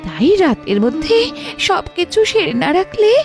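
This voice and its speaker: A woman's voice: high voice, big pitch swings, normal speed, soft background music. A woman voicing a fretful, timid village housewife in a cartoon moral story. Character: anxious village housewife, devout and fearful. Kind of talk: animated moral story acting.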